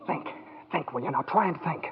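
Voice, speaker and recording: A man's voice: a little high, expressive, moderate pace, slight background noise, lightly acted. An actor playing a frantic, insistent, angry man in 1940s radio style. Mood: urgent. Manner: urgent, insistent, repeats commands. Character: frantic, angry nephew pressing for answers. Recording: tinny 1940s radio, long reverb.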